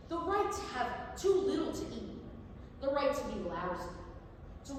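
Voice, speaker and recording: A woman's voice: a little high, slight background noise. A woman giving a dramatic staged reading of a novel, recorded from far off in an echoey theatre. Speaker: stage reader.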